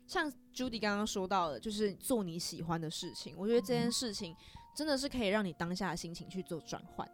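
A woman with a Taiwanese accent hosting a casual chat, telling things in an animated way and acting out little remarks. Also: medium pitch, quick pace, soft background music. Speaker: podcast host.

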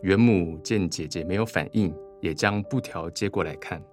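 A man narrates a radio play. His voice is very deep, reading scripted scene directions with soft piano music behind him. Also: moderate pace.

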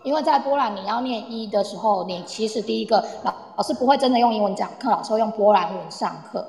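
Woman, Taiwanese Mandarin, casual explainer with English words dropped in; creaky voice, long reverb. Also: mid-range voice, fast, a little mellow. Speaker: guest presenter on Poland. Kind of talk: casual explanatory talk with audience. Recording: echoey, reverberant room sound.

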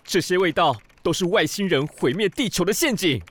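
A man's voice: a little high, big pitch swings, moderate pace. A man with a Taiwanese Mandarin accent playing a proud, foul-mouthed, easily flustered police officer who blusters, grumbles and sputters in an audio drama. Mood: smug grumbling. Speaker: voice actor.

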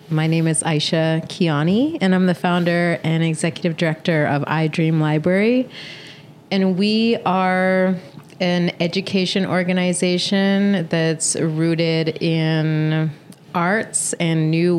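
A woman from Norway, founder of an education group, answering interview questions in a thoughtful, measured way. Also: sits low, steady tone, darkish tone, a little hiss or hum. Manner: thoughtful, measured, uses "um" and "you know". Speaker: guest, founder of an education organization.

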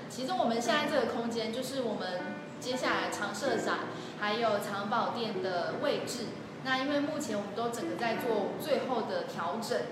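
A woman with a Taiwanese Mandarin accent, co-hosting a livestream in a chatty, expressive storytelling way. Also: on the high side. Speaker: co-host of a livestream. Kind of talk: casual promotional chat. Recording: very echoey hall, loud music behind. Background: loud music.

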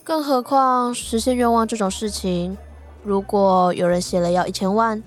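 A level-headed woman, played by an actress in a radio drama. Her medium-pitched voice is skeptical and matter-of-fact as she reasons things out, with music underneath. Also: fairly even intonation, moderate pace, lightly acted. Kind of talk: Mandarin radio drama acting.

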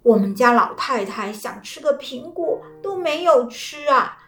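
Cheery simple old grandpa in a storybook, a lady doing him, highish voice, roomy sound, bit of music behind. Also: expressive, measured pace, a bit bright, heavily acted. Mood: cheerful. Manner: proud, easygoing, chuckling. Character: foolish, cheerful old man.

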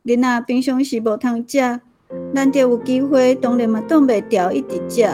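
An actress plays a busy country mother with a high voice. She speaks plainly in a radio drama, over faint music. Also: steady tone, moderate pace, mellow, lightly acted. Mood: defensive.

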